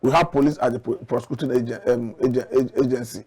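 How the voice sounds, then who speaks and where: A man with a Nigerian accent giving current affairs commentary as a guest analyst, measured and earnest as he argues his points. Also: mid-range voice, steady tone, darkish tone.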